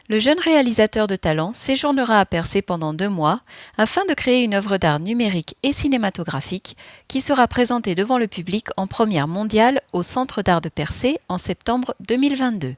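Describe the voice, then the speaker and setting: A woman reading a scripted news item in an even, formal manner. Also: medium pitch, dry recording, studio quality. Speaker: news anchor.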